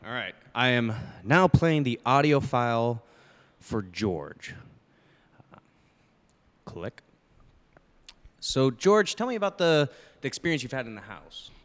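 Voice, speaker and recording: A man improvising a befuddled, distracted doctor in a radio play parody, halting and muttering as he goes. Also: mid-range voice, big pitch swings, a bit bright. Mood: puzzled. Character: absent-minded, befuddled male doctor investigating strange cases. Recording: echoey hall-like reverb.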